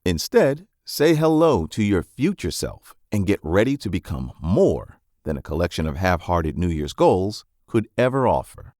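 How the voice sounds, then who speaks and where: A man's voice: deep voice, sing-song, normal speed, hardly any pauses, darkish tone, no echo, studio quality. A man reading a scripted non-fiction book summary as narrator, with polished, emphatic phrasing.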